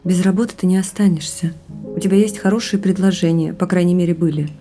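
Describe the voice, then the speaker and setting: Casting: woman for practical, supportive wife, quick delivery, mellow tone; naturalistic radio play over soft music. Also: on the low side, steady tone, natural voice. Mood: practical, persuasive. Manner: probing, supportive. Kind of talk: naturalistic audio drama dialogue.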